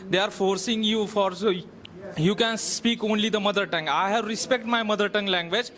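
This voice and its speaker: A man from Maharashtra, a Marathi speaker, arguing in a classroom debate in halting English full of fillers. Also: sits high, fairly even intonation, fairly bright tone. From Maharashtra, India. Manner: halting non-native English with many fillers. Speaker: debate participant. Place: large classroom.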